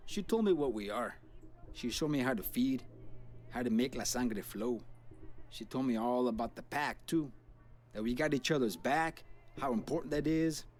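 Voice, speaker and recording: A man's voice: medium pitch, lively intonation, normal speed, lightly acted. Man playing a cocky gangster vampire. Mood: uneasy, confiding. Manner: boastful slangy talk peppered with Spanish. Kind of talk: video game voice acting. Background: music.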